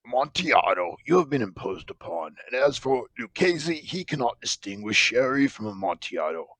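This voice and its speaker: A man voicing a drunken, proud, excitable wine connoisseur, blustering and exclamatory, in a gothic story reading. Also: medium pitch, normal speed, dry recording, voice pushed up, rough recording. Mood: dismissive.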